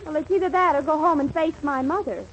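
An actress playing a brisk, bossy young girlfriend full of schemes in a 1940s radio comedy, urgent and coaxing. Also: high voice, close to an everyday voice. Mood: excited, scheming. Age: young adult. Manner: quick-thinking, urgent, coaxing. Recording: muffled 1940s radio, faint audience noise.

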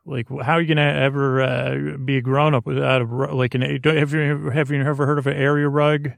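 A middle-aged American man hosting a sleep podcast, rambling in a halting, meandering, self-deprecating way from a closet recording booth. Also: mid-range voice, flat delivery, creaky, no echo, studio quality. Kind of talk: rambling bedtime monologue.

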